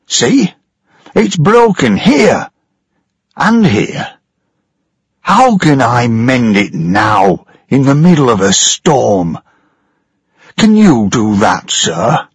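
A man's voice: medium pitch, big pitch swings, very slow, pauses often, breathy edge, no room sound, studio quality, voice pushed up, exaggerated voice. A man voicing a tired, frightened ship's engineer who complains and explains in exasperation. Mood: exasperated.

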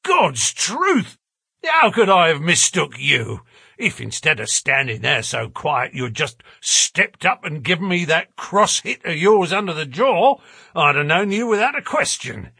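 A man voicing a gruff, blunt, burly porter and former prize fighter in an audiobook story. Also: a little high, very animated, a little slow, no echo, voice pushed up, full character voice. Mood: amazed, hearty. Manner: rough working-class grammar, blunt and loud.

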